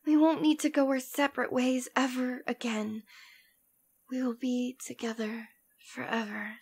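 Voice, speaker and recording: A woman acts out a demon queen with a high-pitched voice. She speaks theatrically and intimately, choosing each phrase with deliberation. It is a scripted ASMR roleplay. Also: flat delivery, a little slow, vocal fry, a little mellow, slightly rough, full character voice. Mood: tender, concerned. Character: demon queen, imperious and possessive, secretly tender lover.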